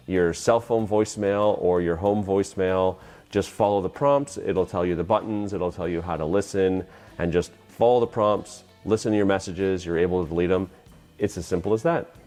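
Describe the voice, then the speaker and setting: A man's voice: fairly low pitch, darkish tone. A man presenting an instructional tutorial, explaining things plainly step by step. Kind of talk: instructional explanation of phone system use.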